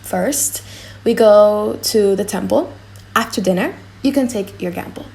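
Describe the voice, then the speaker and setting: A woman voicing a gracious young noblewoman in a dramatic audiobook, measured and courteous in manner. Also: mid-range voice, creaky, dark tone. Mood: composed.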